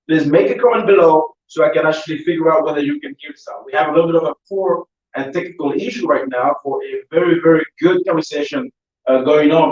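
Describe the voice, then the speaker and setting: A man hosting a live online interview show, talking in a halting, repetitive way. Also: on the high side, dull audio. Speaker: talk show host. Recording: roomy echo, distant mic, poor sound.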